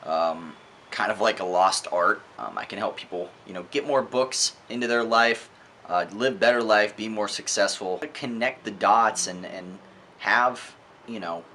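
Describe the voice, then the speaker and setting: A man with a slightly low, sharp and forceful voice talks casually as a vlog host. He is emphatic and opinionated, repeats words to drive them home and swears freely. Faint noise sits behind the voice. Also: steady tone, measured pace. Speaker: vlog host reviewing books. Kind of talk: casual opinionated video monologue.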